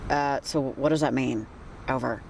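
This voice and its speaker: A woman's voice: rumbling low, steady tone, moderate pace, gravelly, a little mellow, slight background noise. An actress playing a level-headed woman field researcher in a video game, dry, plainspoken and understated. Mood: businesslike. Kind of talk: naturalistic video game acting.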